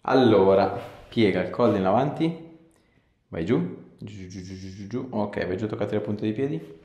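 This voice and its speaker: Low-voiced chiropractor man, echoey room. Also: normal speed, darkish tone, muffled recording. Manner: informal, encouraging, short step-by-step cues. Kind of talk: hands-on clinical instructions and casual chat with a patient. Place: small clinic treatment room, indoors. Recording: echoey small room with clear background noise.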